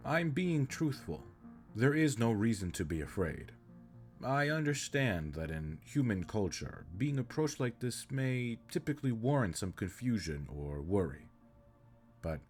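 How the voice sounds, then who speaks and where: A man playing an earnest, caring alien spaceship captain in an audio roleplay, speaking in stiff, formal, precise sentences. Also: low-pitched. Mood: reassuring. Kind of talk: scripted roleplay audio drama, addressing the listener.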